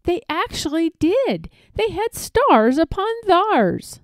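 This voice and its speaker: A woman reading rhyming verse aloud for children in an expressive, measured storybook style. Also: extremely high pitch, no echo, clean studio sound. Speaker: storybook reader.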